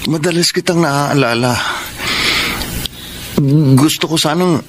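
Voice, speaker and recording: Pleading, lovelorn Filipino ex-boyfriend. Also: male voice, fairly high pitch, takes it slowly, a little hiss or hum. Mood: longing, pleading. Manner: pleading, earnest. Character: lovelorn, persistent former boyfriend Nick. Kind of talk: Filipino radio drama acting.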